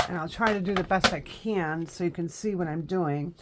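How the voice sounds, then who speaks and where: A woman's voice: on the low side, normal speed, background noise. A woman in her fifties, a little sniffly from allergies, chatting through a live craft tutorial with lots of ums and uhs. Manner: chatty, many ums and uhs, step-by-step instructions. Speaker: craft instructor. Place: home craft room, indoors.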